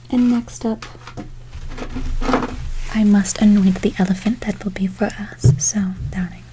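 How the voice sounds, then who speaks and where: A woman playing a gentle, doting witch in a whispered ASMR role play, speaking affectionately and unhurriedly, often repeating herself. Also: medium pitch, a little hiss or hum, natural voice, poor audio. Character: gentle, doting female witch casting good-luck spells. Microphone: close ASMR microphone.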